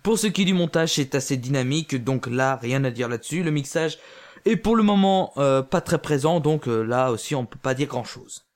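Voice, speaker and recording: A man's voice: medium pitch, animated, normal speed. A man reviewing an amateur audio drama in casual spoken French, with frequent fillers and restarts. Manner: hesitant, many fillers and restarts.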